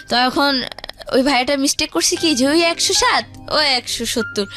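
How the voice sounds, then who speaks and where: A young woman with a Bangladeshi accent telling a personal story conversationally, rambling and acting out what others said. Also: high-pitched. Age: teens to young adult. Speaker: guest telling their life story. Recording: music behind the voice.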